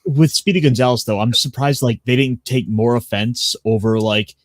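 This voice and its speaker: Man, stream chat, halting, restarts a lot, dry recording. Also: mid-range voice, dry recording.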